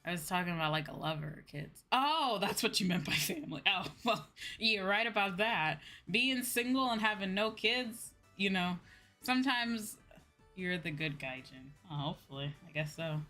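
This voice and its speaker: An American woman chatting casually to viewers as a livestream host, animated and repeating phrases for emphasis. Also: medium pitch, normal speed, soft background music. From United States.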